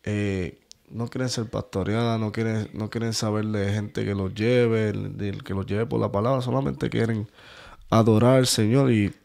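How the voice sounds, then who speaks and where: Male faith-podcast host with a mellow tone; interviews the guest and affirms often. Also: lowish voice, flat delivery, moderate pace, creaky. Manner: affirming with frequent amens.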